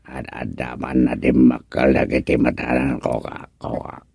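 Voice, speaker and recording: A man acting a grumbling villager turned frog in a comedic radio drama, halting and punctuated with croaks. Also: rumbling low, level tone, a little mellow, dry recording, clean studio sound, exaggerated voice. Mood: wary, bargaining.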